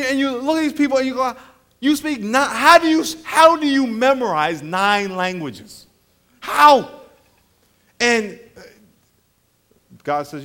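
Male pastor, high voice, animated storyteller mixing jokes and preaching. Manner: animated storyteller, acts out voices, laughs often. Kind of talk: sermon with comic stories.

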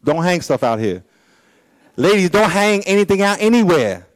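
High-voiced joking preacher man in his fifties. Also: very animated, moderate pace, dry recording. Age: 50s. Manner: blunt, joking preacher with swinging pitch and sudden pace shifts. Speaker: pastor. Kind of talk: sermon.

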